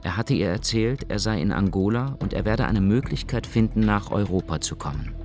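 A man narrating a radio documentary in a sober, even way. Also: lowish voice, moderate pace, music in the background.

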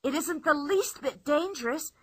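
A woman's voice: high-pitched, lively intonation, normal speed, a bit bright, pitched above the performer's own voice. A woman voicing a brave, eager young boy in a storybook reading, in a raised voice.

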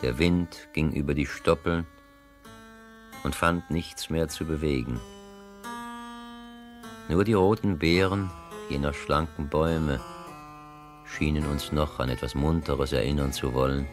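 An actor narrating a literary radio play as a solemn, reflective storyteller with a measured, elegiac delivery. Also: male voice, extremely low pitch, steady tone, over music. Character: solemn, reflective male narrator of a classic novel.

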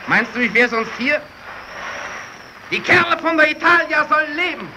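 A man's voice: very high voice, animated, moderate pace. A man playing an earnest, defiant sailor comrade in a radio play, speaking emphatically. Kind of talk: German radio play acting. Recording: clear noise behind.

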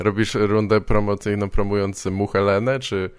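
A man hosting a podcast, talking casually with a dry, deadpan, joking manner. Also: on the low side, moderate pace, clean tone, no echo. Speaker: podcast host.

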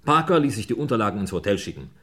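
An actor playing a brisk, dry homicide inspector in a German radio crime play, musing in clipped phrases with little questioning grunts. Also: male voice, on the low side, sing-song, sharp tone. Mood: musing, matter-of-fact.

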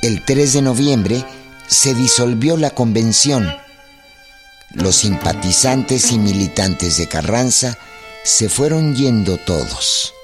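Slow, expressive male narrator over music. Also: medium pitch. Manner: measured, formal. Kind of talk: scripted historical narration for radio drama.

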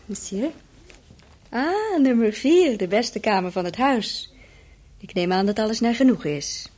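An actress playing a young widowed hotel proprietress in a radio play, speaking in a formal, courteous and businesslike way. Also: female voice, fairly high pitch, animated, measured pace, a little mellow.

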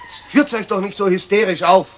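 A man's voice: sits high, a little fast, a little hiss or hum, lightly acted. An actor playing a stern, sarcastic schoolteacher who scolds his class in a 1970s children's radio play. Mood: exasperated. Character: stern, scornful male schoolteacher, irritated and strict.

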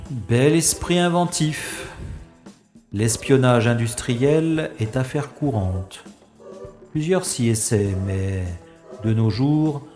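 A man plays a self-assured, wry secret agent in a sci-fi audio drama. He speaks slowly and formally in a musing, measured tone. His mid-pitched voice has a strong echo around it, over faint music. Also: darkish tone, natural voice. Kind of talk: science-fiction audio drama, inner monologue and dialogue.

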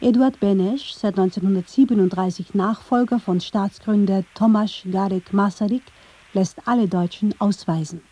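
A woman reading scripted documentary narration in a measured, factual storytelling manner. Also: medium pitch, dark tone, breathy edge. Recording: slightly dull, band-limited sound.

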